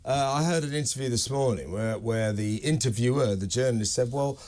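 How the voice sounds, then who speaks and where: A man's voice: medium pitch, fairly quick, a little mellow. A man with a British accent co-hosting a news show, giving emphatic, sardonic opinions in conversational banter. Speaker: talk show co-host.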